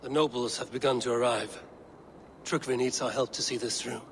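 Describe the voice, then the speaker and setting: A man's voice: medium pitch, fairly even intonation, normal speed, lightly acted. A man acting a steadfast Viking warrior and counsellor in a video game cutscene, grave, terse and commanding. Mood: grave, composed. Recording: clearly noisy background.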